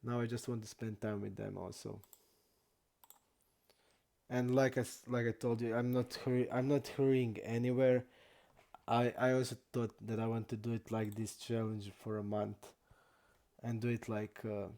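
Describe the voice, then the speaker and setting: A young man from Croatia, not an English speaker, chatting casually and haltingly while streaming poker. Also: mid-range voice, little pitch movement, gravelly, mellow. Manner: halting non-native English, many restarts and fillers.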